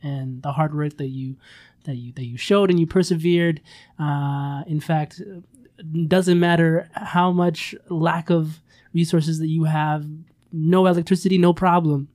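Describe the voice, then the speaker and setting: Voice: medium pitch, steady tone, a little slow, darkish tone. An effusive, encouraging radio host speaking casually in an interview, praising and thanking the guest.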